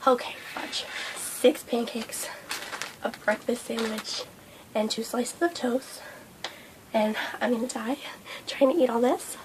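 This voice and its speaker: A young woman vlogging a breakfast mukbang, hoarse and losing her voice from a cold, rambling with lots of fillers. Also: on the high side, fairly even intonation, slow pace, pauses often, background noise. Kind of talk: casual mukbang vlog chat. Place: indoors at home under a hot light.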